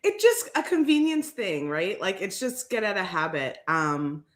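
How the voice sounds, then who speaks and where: A woman co-hosting a craft livestream, chatting casually with a raspy morning voice, telling a story and laughing often. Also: medium pitch, big pitch swings, moderate pace. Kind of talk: casual chat.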